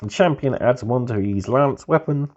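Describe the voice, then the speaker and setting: A man with a cold, hoarse and husky, giving a relaxed, easygoing review of a hobby magazine as the channel's host. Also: mid-range voice, normal speed. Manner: relaxed, easygoing, keeps calling things pretty cool. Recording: dull lo-fi recording.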